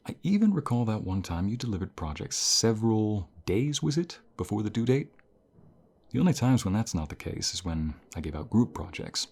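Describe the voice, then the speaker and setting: A man playing a caring university professor in an ASMR roleplay, speaking in a calm, reassuring and gentle manner. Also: on the low side, moderate pace. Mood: caring, encouraging. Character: caring male university professor comforting a burnt-out student. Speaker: voice actor.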